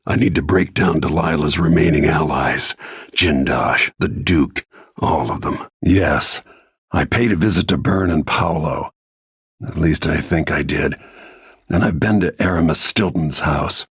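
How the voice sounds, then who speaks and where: A man voicing a grim, battle-worn middle-aged royal protector and assassin in a video game, with terse, brooding, measured delivery and a gravelly, husky voice. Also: extremely low pitch, fairly bright tone, dry recording, studio quality, exaggerated voice. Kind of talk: scripted video game character lines.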